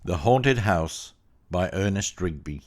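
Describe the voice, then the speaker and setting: A man narrating a first-person story in a measured, plain-spoken way. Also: deep voice, lively intonation, very noisy. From Australia.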